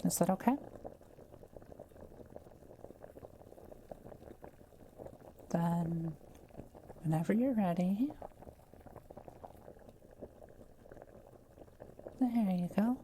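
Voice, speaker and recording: Woman playing a soft, mellow, gentle witch. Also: fairly low pitch, a little slow, a little hiss or hum, natural voice, low-quality recording. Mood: gentle, reassuring. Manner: gentle, careful, explanatory. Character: kind, quiet witch brewing a potion, gently reassuring. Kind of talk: soft-spoken roleplay audio, talking to the listener.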